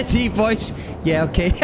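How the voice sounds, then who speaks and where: A man streaming a game, with a light, high-pitched voice, chatting casually and rambling with lots of laughs. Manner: animated, rambling, laughs often. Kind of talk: casual live-stream chat while playing. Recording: music playing underneath. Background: game music.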